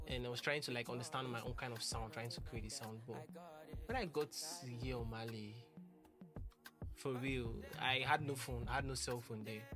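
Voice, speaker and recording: A young man, talking casually and earnestly about a musician he admires, rambling and restarting his sentences. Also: medium pitch. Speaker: music producer. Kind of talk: casual talk to camera.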